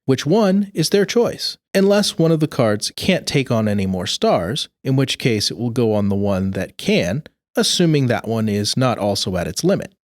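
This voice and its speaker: A man's voice: mid-range voice, expressive, moderate pace, dry recording, clean studio sound. A man reading scripted rules aloud in a precise, instructional manner. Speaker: co-host reading game rules. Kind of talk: scripted rulebook narration.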